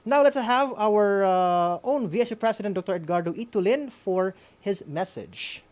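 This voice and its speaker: A man hosting a formal event, introducing speakers in a halting way as he searches for words. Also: high-pitched, a little mellow. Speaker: event host.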